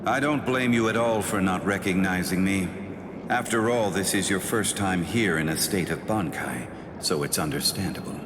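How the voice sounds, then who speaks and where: An actor playing a cold, stern, haughty sword spirit in an anime game, with an imperious, unyielding manner. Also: male voice, sits low, normal speed, close to an everyday voice. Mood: cool, aloof. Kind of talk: English anime game voice acting. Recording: cavernous reverb with noisy ambience.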